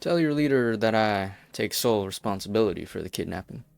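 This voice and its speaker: Guy voicing a stubborn rebel leader, kinda gravelly, blunt, dry recording, game fandub. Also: fairly low pitch, animated, a little fast, dry recording, soft background music. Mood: defiant. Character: stubborn, self-sacrificing male rebel leader. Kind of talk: English fan dub of a game story.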